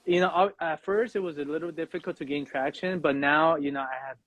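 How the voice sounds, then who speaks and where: A man talking as a podcast guest in a reflective, earnest, halting way, often checking in with "you know" and "right?". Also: fairly high pitch, fairly bright tone, no room sound. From Mexican background. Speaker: podcast guest, fatherhood coach. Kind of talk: reflective personal conversation.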